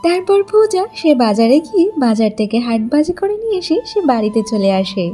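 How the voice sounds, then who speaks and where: Casting: female storyteller, high voice, scripted cartoon narration over faint music. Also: big pitch swings, a little fast.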